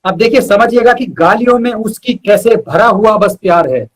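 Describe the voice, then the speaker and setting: High-pitched husky man reciting poetry. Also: normal speed. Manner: repeats lines for emphasis. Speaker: poet, interview guest. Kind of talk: poetry recital with repeated lines and short asides to listeners.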